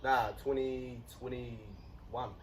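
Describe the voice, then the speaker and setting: A man's voice: mid-range voice, fairly even intonation, extremely slow. Laid-back young man, distant mic. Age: young adult, 19. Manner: laid-back, rambling, swears casually. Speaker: young rugby league player. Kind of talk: casual interview chat. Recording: distant mic, faint background noise.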